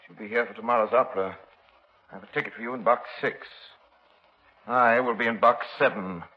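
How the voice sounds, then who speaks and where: Actor as urbane gentleman sleuth, muffled old radio. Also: medium pitch, brisk, close to an everyday voice. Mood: calm resolve. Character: calm, urbane amateur sleuth, protective gentleman. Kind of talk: 1930s radio drama acting. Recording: muffled, noisy 1930s radio recording.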